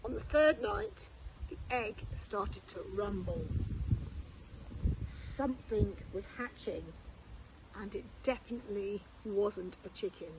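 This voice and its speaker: A woman reading a children's storybook aloud expressively, like a primary school teacher, with measured, deliberate phrasing. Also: mid-range voice, noisy background.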